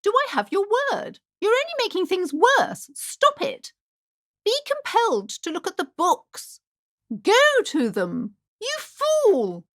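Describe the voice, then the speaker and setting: High, theatrical, fretful woman shopkeeper. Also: wildly swooping pitch, slow, no room sound, clean studio sound, exaggerated voice. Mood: alarmed, dismayed. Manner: theatrical, fretful, swinging between gushing and alarm. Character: anxious, superstitious, preachy woman bookshop owner. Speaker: voice actor in a video game. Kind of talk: dramatic video game character dialogue.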